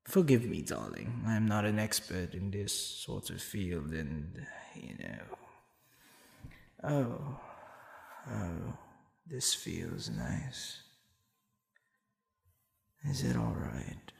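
Man playing a gravelly, suave demon boyfriend. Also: deep voice, big pitch swings, darkish tone, reverberant, exaggerated voice. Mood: tender, hesitant.

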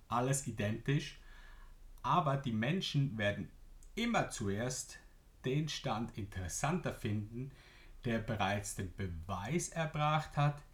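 A young man with a Swiss German accent, hosting a solo business podcast at a mid pitch. He explains things step by step, with lively emphasis and the odd filler word. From Switzerland. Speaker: podcast host.

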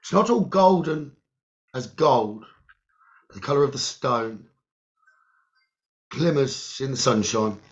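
Guy doing a guided meditation, really slow with lots of pauses, bit of a dull lo-fi recording. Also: medium pitch, animated. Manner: halting, short phrases with long pauses. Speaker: guided meditation leader, psychic medium. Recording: dull, lo-fi sound.

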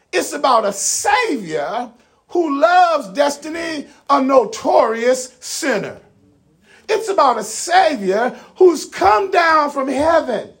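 Guy preaching a sermon, slow and emphatic, creaky voice, swings up and down a lot. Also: very high-pitched, fairly bright tone. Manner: rhetorical, emphatic preaching with repeated phrases and direct appeals to listeners.

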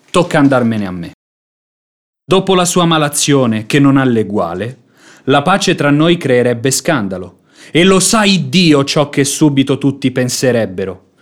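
An actor playing a sanctimonious, scheming devout beggar in a radio drama, speaking in a measured, unctuous, self-sacrificing way. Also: male voice, medium pitch, close to an everyday voice. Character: sanctimonious hypocritical male devout beggar, falsely humble and scheming. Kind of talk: theatrical Italian radio drama acting.

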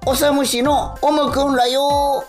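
High, cutesy little talking beetle. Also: female voice, flat delivery, unhurried. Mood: cheerful, helpful. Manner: cute verbal tic ending sentences. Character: small, upbeat, childlike talking beetle. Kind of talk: cartoonish radio drama acting. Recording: faint music behind the voice.

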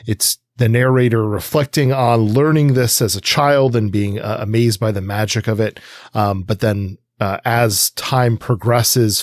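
Male co-host for music discussion, slightly deep voice, thoughtful and halting, close dry recording. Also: darkish tone, no echo. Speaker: podcast co-host. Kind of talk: conversational music review discussion.

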